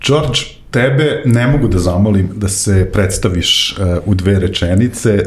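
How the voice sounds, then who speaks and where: A man's voice: sits low, a little mellow. A man hosting an interview, inviting guests to talk and affirming their answers. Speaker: host.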